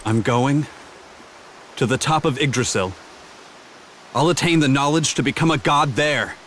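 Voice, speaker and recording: An actor playing a brash, determined young warrior in a fantasy video game, with a blunt, casual, emotive way of talking. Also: male voice, medium pitch, unhurried, a bit bright, a little hiss or hum, lightly acted. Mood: defiant, determined. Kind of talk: video game cutscene voice acting.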